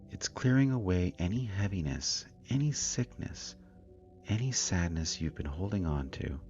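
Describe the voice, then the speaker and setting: A man narrating a scripted guided meditation in a calm, soothing, deliberate manner. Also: sits low, lo-fi sound. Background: meditation music with singing bowls.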